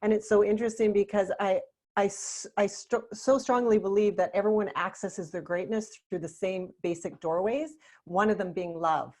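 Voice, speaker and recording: A woman's voice: medium pitch, fairly even intonation, moderate pace, darkish tone. A woman life coach hosting an interview, speaking in an affirming, enthusiastic way. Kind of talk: interview conversation.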